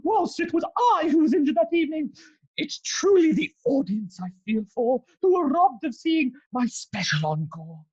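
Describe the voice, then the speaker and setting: A woman's voice: sits high, big pitch swings, normal speed, darkish tone, dull audio. A woman playing a vain, melodramatic actress testifying in a comic courtroom play, haughty and self-dramatising. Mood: grandly self-pitying.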